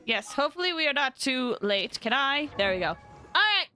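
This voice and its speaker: Playful girl streamer chatting over a game, high bright voice that bounces around. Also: sing-song, normal speed, soft background music. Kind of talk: casual livestream game commentary.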